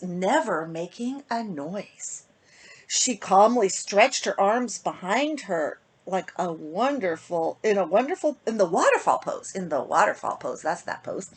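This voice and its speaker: A woman speaks to children as an author sharing a picture book. Her mid-pitched voice has a husky, less polished edge and moves freely up and down. She is expressive and chatty, addressing young listeners directly. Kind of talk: casual intro and expressive storybook reading to children.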